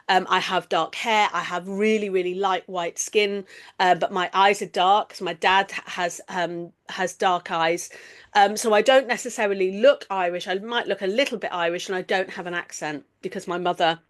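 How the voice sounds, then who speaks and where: A woman's voice: mid-range voice, a bit bright. Earnest middle-aged English woman podcasting. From East Midlands, England. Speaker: podcast host. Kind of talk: reflective solo podcast talk.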